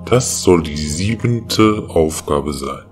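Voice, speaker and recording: A man playing a solemn, ponderous god in an audio drama, speaking with slow weight. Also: rumbling low, animated, a little mellow, faint music behind, exaggerated voice.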